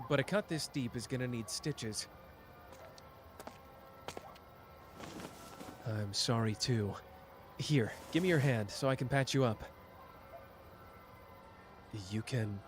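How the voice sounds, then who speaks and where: A man's voice: mid-range voice, measured pace, long pauses, a little hiss or hum, lightly acted. A man voicing a smug, playful young schemer who teases and drawls in an audio drama. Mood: concerned. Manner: teasing, sly, drawling. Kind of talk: ASMR roleplay audio drama.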